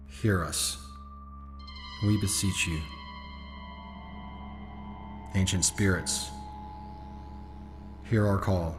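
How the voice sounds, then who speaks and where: In cavernous reverb over music, an actor plays a solemn young man summoning spirits in a horror audio drama. He intones each phrase slowly and insistently, with a low, sing-song incantation. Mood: solemn, intoning.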